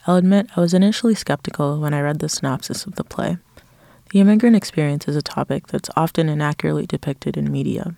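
A man's voice: a little high, creaky, darkish tone, dry recording. A man from Nigeria reading a scripted college news story, moving into a reflective first-person account. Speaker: student reporter.

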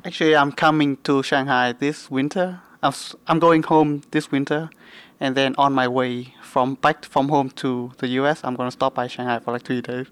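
A young man whose first language is Vietnamese speaks English softly and carefully. His voice is smooth and resonant, and the recording is dry. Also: medium pitch, fairly even intonation, normal speed, no echo. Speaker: interviewee. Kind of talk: casual interview answers.

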